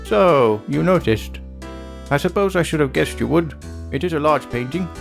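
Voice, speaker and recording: A man's voice: a little high, expressive, moderate pace, vocal fry, faint music behind. An actor playing a troubled, blustery old attorney in a video game, with an old-fashioned, grandfatherly way of talking. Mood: flustered. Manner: blustering, old-fashioned, stammering when flustered. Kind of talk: dramatic video game character acting.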